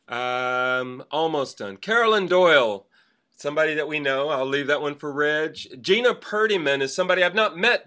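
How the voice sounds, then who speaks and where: A man co-hosting a theater podcast, reading off birthdays in casual, animated chat with some swearing and trailing fillers. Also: a little high. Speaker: podcast co-host.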